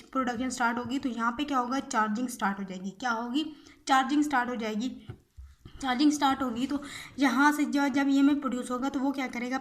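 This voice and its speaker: A young woman with a high voice explains material like a teacher in an informal lecture. She circles back over each step, posing questions and answering them herself. English technical terms are mixed into her speech. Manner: repetitive, asks and answers own questions. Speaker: physiotherapy teacher.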